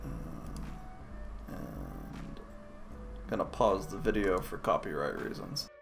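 A man hosting a casual, unscripted software tutorial, thinking aloud in short, halting phrases. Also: deep voice, sing-song, gravelly, music in the background.

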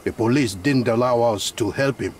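An actor playing a protective, middle-aged refugee man in a game, grave, wary and measured. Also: mid-range voice, a little mellow, close to an everyday voice. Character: guarded, protective male refugee leader. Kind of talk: dramatic game cutscene dialogue. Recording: faint night insect noise behind.

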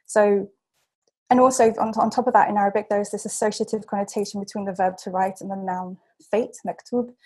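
A young woman presenting an academic paper over a video call, measured and careful, with frequent ums and self-corrections. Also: medium pitch, fairly even intonation, a little mellow, breathy edge. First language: English. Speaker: seminar speaker.

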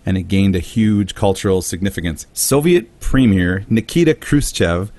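This man sounds a little lower than most, and his voice is very dry and close. He tells a story in casual podcast chat, drops into goofy voices for the people he quotes, and jokes between facts. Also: moderate pace, a little mellow, dry recording. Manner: jokey storytelling, acting out quotes in silly voices. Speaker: podcast host.

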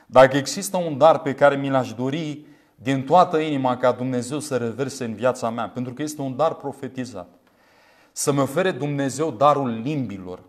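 A man in his thirties from Romania preaching a sermon in an earnest, emphatic way, using deliberate repetition. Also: mid-range voice. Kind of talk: sermon with scripture commentary. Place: small indoor room, standing at a glass pulpit. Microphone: lapel mic.

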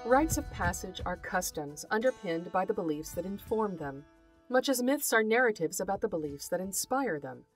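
A woman hosting an educational podcast, reading scripted narration in a measured, articulate and formal way. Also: mid-range voice, animated, soft background music. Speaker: podcast host, folklore scholar.

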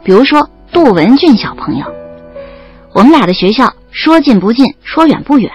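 An actress playing a young woman student who narrates her love story in an audio drama, expressive and playfully wry. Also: a little high, moderate pace, highs cut off, faint music behind. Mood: amused exasperation.

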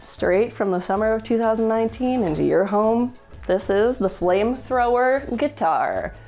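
A woman's voice: on the high side, animated, moderate pace, darkish tone, soft background music. A woman in her thirties hosting a casual show-and-tell, joking and laughing often, recorded in an indoor studio with an off-camera mic. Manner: playful, jokey, laughs often. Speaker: host. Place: indoor studio with a blue wall.

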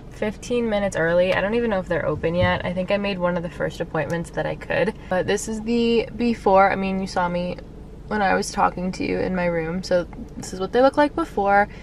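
A woman's voice: medium pitch, distant mic. Young woman talking to her camera in a parked car, chatty n upbeat, little bit of noise. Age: young adult. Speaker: vlogger. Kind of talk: casual vlog chat. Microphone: camera held at arm's length.

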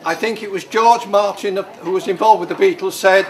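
A man's voice: sits high, steady tone, some chatter behind. A man in his eighties giving a wry birthday speech in a club function room. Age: 80s. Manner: wry, dry humour with pauses for laughs. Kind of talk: after-dinner speech. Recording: crowded noisy party room.

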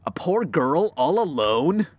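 Lonely young video game girl, acted by a man, raised pitch, plaintive, melodramatic, anxious. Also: big pitch swings, takes it slowly, darkish tone, no echo, exaggerated voice. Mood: earnest, sad. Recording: clear background noise.

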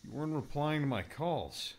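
A man's voice: a little high, animated, moderate pace, a little mellow, slight background noise. A man playing an eager, fretful mentor in an audiobook, with a folksy, enthusiastic manner. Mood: worried, eager.

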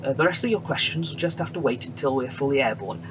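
An actor playing a friendly, assured wartime bomber pilot with a British accent, brisk and reassuring, in a radio drama. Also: male voice, mid-range voice, animated, lightly acted. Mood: brisk, businesslike. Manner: brisk, reassuring, polite officer. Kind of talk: period radio drama acting. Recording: noisy aircraft engine background.

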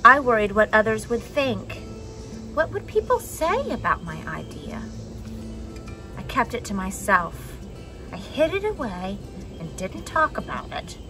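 A young adult woman reading a children's picture book aloud expressively, with dramatic pauses and emphasis. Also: fairly high pitch, huge pitch swings, over music, poor audio. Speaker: storybook reader for a children's church message. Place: outdoors on a wooden deck among trees.

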